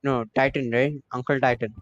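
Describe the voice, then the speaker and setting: A man's voice: mid-range voice, flat delivery, fairly quick, no room sound, lo-fi sound, soft background music. A man co-hosting a game stream, reacting in casual, joking, sarcastic chat.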